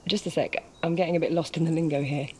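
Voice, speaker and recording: An actress playing an inquisitive female journalist who asks measured, probing questions in a game cutscene. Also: low-pitched, fairly even intonation, a little mellow, slight background noise, lightly acted. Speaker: interviewer. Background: music.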